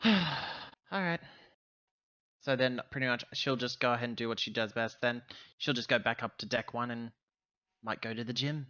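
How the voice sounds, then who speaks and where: Bright-voiced man, slightly muffled. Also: mid-range voice, normal speed, pauses often. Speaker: player in a tabletop roleplaying game. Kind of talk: casual game chat and questions.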